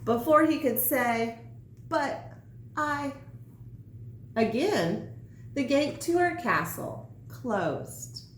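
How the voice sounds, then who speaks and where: A woman reading a children's story aloud in an expressive, deliberate way. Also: fairly high pitch. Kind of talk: scripted children's story narration. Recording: echoey room, faint background noise.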